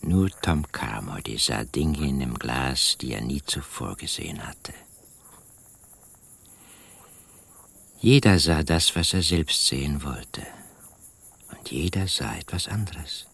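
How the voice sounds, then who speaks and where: An actor playing a calm, brooding rural man who narrates an eerie radio drama in an unhurried, ominous, deliberate way. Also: extremely low pitch, gravelly, husky, exaggerated voice. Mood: quietly menacing. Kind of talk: radio drama narration, literary first person.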